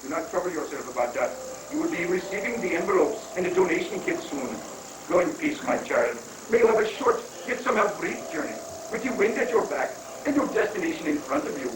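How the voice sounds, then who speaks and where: A flamboyant foreign guru, played by a man in a comic stage reading, cheerfully dodging and rambling in mock-pious phrases. The voice sits high. It comes from off at a distance in an echoey room, on a noisy 1980s live recording. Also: animated, brisk. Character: flamboyant wandering foreign guru, rock-obsessed, evasive and cheerful.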